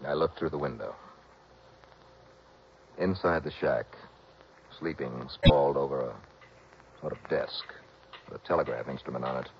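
An actor playing a brisk, tough, insistent investigator in a 1960s radio drama, clipped and urgent in dialogue and narration. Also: male voice, very deep voice, gravelly. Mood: terse narration. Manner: clipped, urgent, hard-boiled. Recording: dull, noisy old radio recording.

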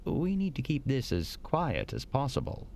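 Formal hotel manager, defensive, officious, very animated intonation, audio drama. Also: male voice, mid-range voice, moderate pace, creaky. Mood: politely defensive. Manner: polite, stiff, officious. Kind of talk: dramatic audio fiction acting.

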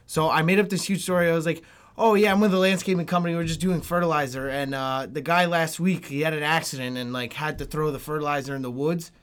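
A young adult man chatting casually with friends, telling stories in an animated, slangy way with swearing. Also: fairly high pitch, fast, few pauses, smooth. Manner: animated, profane, slangy storytelling. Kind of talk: casual storytelling chat among friends.

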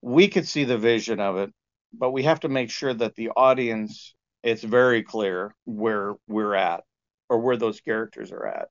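A man being interviewed as a sound engineer, explaining in a conversational, halting way with lots of "you know". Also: lowish voice. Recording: dull, lo-fi band-limited sound.

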